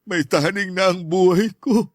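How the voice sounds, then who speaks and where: Guy acting as proud sick dad in radio drama, high voice, grave n commanding, dry sound. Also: moderate pace, no echo. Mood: grave, pleading resolve. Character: stern, proud dying father hiding his weakness.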